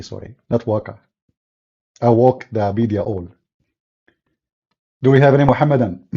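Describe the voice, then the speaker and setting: A man with an Arabic accent whose first language is Arabic, hosting a casual live stream in a sarcastic, mocking way and spinning deadpan tall tales. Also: medium pitch, slightly rough, muffled recording. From Middle East.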